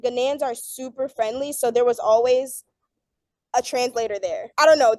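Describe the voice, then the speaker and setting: Young woman panelist, a bit high-pitched, friendly, close dry sound. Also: a little mellow, dry recording. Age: young adult. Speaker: student panelist. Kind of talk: panel discussion.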